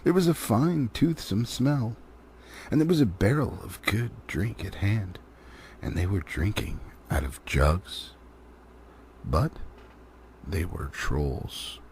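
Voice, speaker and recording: A man narrating a storybook expressively, with dramatic pauses and drawn-out phrasing. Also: on the low side, animated, darkish tone, a little hiss or hum. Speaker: audiobook reader.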